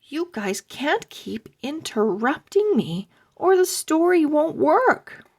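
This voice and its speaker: A woman's voice: high voice, very animated, slow pace, darkish tone, pitched above the performer's own voice, full character voice. A woman voicing a bossy older girl speaking in a firm, emphatic way. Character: bossy older sister, spooky storyteller.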